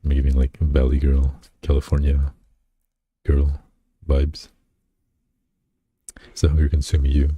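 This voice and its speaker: A man with a very deep, dark voice chats loosely with his stream audience, reading their comments back to them. He rambles, restarts his sentences, and pokes fun at himself. The husky sound is dry and close to the mic. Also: completely flat delivery, unhurried, pauses often, no echo, lo-fi sound. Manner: rambling, self-deprecating, many fillers and restarts. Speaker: VTuber streamer. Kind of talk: casual live-stream chat, reading chat aloud.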